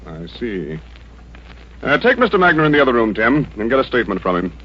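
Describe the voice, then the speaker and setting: American authoritative, composed police inspector, vintage radio drama, animated pitch, dull recording. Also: male voice, fairly quick, breathy edge. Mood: cool, businesslike. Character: calm, authoritative male homicide police inspector. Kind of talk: old-time American radio detective drama acting.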